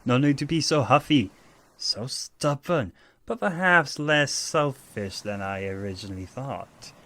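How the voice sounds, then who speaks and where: A man's voice: medium pitch, lively intonation, a little slow, sharp tone. A man playing a playful, affectionate archangel big brother in an audio drama, with a theatrical, teasing manner. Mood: wry, fond. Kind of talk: audio roleplay drama acting.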